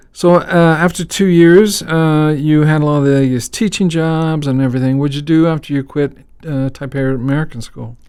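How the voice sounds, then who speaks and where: A man's voice: mid-range voice, a little mellow, smooth, dry recording, clean studio sound. A man from Texas hosting a casual interview podcast, who stops to explain words and phrases in a teacherly way. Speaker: podcast host.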